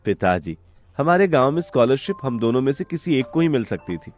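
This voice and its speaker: A man's voice: mid-range voice, very animated, fairly quick, darkish tone, faint music behind, close to an everyday voice. A man voicing a studious, trusting young village son who speaks politely and earnestly in a cartoon story. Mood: calm, reassuring.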